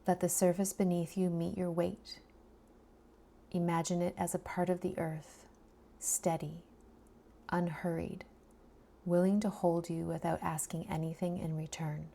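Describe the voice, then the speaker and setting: A woman guiding a body scan meditation in a soft, soothing, breathy voice that often trails into a near-whisper, leaving long pauses between phrases. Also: on the low side, little pitch movement. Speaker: meditation guide.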